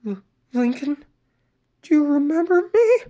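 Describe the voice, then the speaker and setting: A man's voice: very high voice, very animated, unhurried, dark tone, muffled recording, voice pushed up, heavily acted. A man voicing a remorseful teenage girl who stammers through tearful apologies. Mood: tearful pleading.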